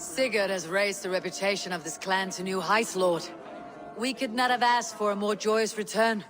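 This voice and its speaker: A woman's voice: mid-range voice, fairly even intonation, moderate pace, lightly acted. An actress playing a loyal, steadfast Viking warrior woman, direct and wry, in video game dialogue. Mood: firm, dutiful. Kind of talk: dramatic game cutscene dialogue.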